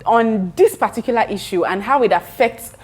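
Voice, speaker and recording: A woman's voice: fairly high pitch, normal speed, breathy edge. A young woman hosting a casual podcast, bubbly and passionate, laughing often. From Nigeria. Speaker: podcast host.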